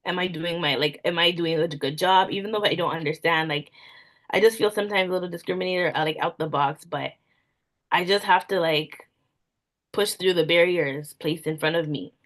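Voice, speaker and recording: Young woman with a low, easy voice. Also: normal speed. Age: young adult. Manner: soft-spoken, frequent "like" fillers. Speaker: event planner guest. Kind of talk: casual interview answers.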